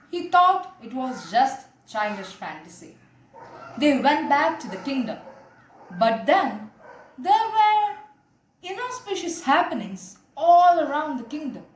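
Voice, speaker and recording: A young woman with an Indian accent narrates a moral tale, earnestly explaining and addressing her listeners directly. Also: high-pitched. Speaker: storyteller. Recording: echoey room with faint background noise.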